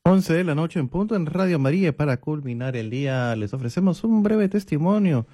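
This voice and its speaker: A man giving a formal, measured radio announcement. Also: on the high side, animated, mellow. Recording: radio broadcast with music underneath.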